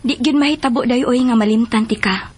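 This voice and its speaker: A woman's voice: a little high, brisk, darkish tone, slightly rough. A woman with a Cebuano Filipino accent playing a cheerful, affectionate young wife in a radio drama. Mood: friendly, chatty. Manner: friendly, chatty. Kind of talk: Philippine radio drama acting.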